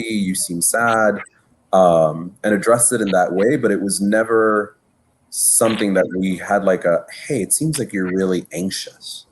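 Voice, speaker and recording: A man's voice: low-pitched, a little hiss or hum, poor audio. A man from Miami speaking thoughtfully and openly as a panelist in a casual discussion.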